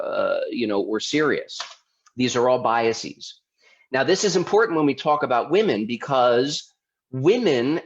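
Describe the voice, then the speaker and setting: A man from Baltimore giving an informal presentation as a lawyer, talking conversationally with restarts and rhetorical questions. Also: mid-range voice. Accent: Baltimore, mostly suppressed. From Baltimore, United States. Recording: slightly dull lo-fi audio.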